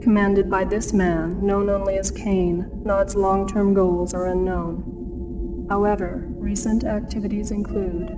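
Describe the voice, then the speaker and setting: An actress playing a formal intelligence briefing narrator in a game cutscene, measured and impersonal. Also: female voice, medium pitch, level tone, background noise, close to an everyday voice. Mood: formal, detached. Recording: distant voice under clear music. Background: music.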